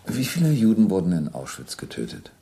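A police interrogator in a radio play, very low and creaky, with lively intonation. He is formal, methodical and insistent as he puts questions and evidence to the accused. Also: brisk, a little hiss or hum, heavily acted. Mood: stern, insistent. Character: stern, methodical police captain interrogating a war criminal. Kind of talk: German radio docudrama acting, reading documents aloud.

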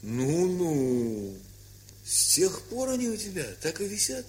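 An actor playing a frank, calm, good-natured grown nephew who gently banters in a radio play. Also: male voice, sits high, takes it slowly, exaggerated voice. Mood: doubtful. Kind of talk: Soviet radio play acting.

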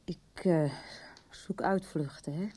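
A woman's voice: lowish voice, slow, warm tone, breathy edge. An actress playing a wary, stubborn alcoholic mother in a radio drama, blunt and reluctant. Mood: reluctant, resigned. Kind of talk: 1990s Dutch radio drama acting.

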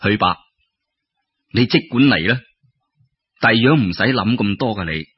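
A man voicing a kind-hearted male water ghost, friendly and wistful, in a soft, reassuring manner. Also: fairly low pitch, measured pace, husky, no room sound, voice pushed down. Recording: dull lo-fi radio-style recording.

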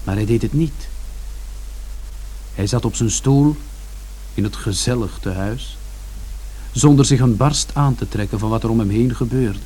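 An actor playing a reflective son who narrates a bleak family story in a radio drama. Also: male voice, mid-range voice, measured pace, pauses often, warm tone, breathy edge, rough recording. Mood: sombre, reflective. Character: George, reflective younger son recalling his family's bleak Saturday. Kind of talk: scripted first-person narration in radio drama.

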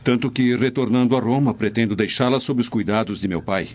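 A man's voice: mid-range voice, brisk, darkish tone, natural voice. An actor with a Brazilian accent playing a troubled, stern Roman father, speaking formally in a radio drama. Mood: grave, troubled. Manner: formal, solemn, literary speech. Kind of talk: dubbed radio drama acting. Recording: dull lo-fi, noisy ambience.

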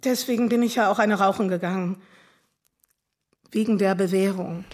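A woman's voice: medium pitch, fairly even intonation, normal speed, a little mellow, natural voice. An actress playing a coarse, short-tempered woman on probation who talks bluntly. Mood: weary. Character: rough-mouthed young woman on probation, blunt. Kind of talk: radio drama acting.

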